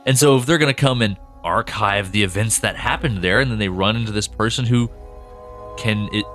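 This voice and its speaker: A man's voice: on the low side, fairly bright tone, soft background music. A man co-hosting a casual behind-the-scenes podcast chat, talking loosely and laughing often. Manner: chatty, laughs often, acts out quick voices for characters. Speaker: podcast co-host and show creator.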